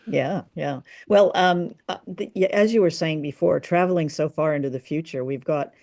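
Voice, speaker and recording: A woman's voice: low-pitched, normal speed, vocal fry, darkish tone, no echo, clean studio sound. A woman co-hosting a podcast, talking casually and conversationally about old radio drama. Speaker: podcast co-host.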